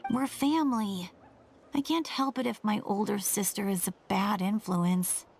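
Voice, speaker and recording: A woman's voice: mid-range voice, unhurried, gravelly, darkish tone, faint music behind. A woman voicing a stubborn, grumbling young girl, the needling younger sister in a video game. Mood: grudging, teasing.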